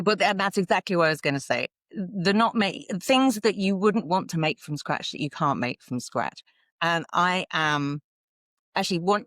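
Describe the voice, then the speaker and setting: A woman's voice: on the low side, a bit bright, dry recording, clean studio sound. A woman with a southern English accent, talking casually and chattily as a podcast guest, with some self-deprecation. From south of England, London suburbs. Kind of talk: casual conversational interview.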